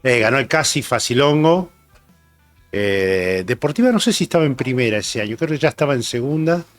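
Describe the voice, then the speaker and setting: A man in his sixties from Argentina with a Rioplatense accent, telling stories as a guest in a relaxed interview, with many filler sounds. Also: mid-range voice, a little fast, faint music behind. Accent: Rioplatense Spanish. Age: 60s. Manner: rambling, reminiscing, many "eh" fillers. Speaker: rugby journalist guest. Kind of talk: relaxed anecdotal interview chat.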